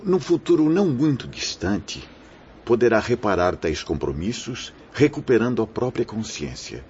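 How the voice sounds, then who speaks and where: An actor playing a wise, consoling, fatherly spirit mentor who preaches solemnly in a religious radio drama. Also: low voice. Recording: dull lo-fi audio with faint noise.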